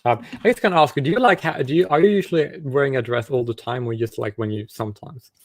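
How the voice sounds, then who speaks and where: Halting, curious man on a video chat. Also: medium pitch, no echo. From South Africa. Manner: halting, many restarts, curious questions. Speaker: livestream host chatting with strangers. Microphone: video call.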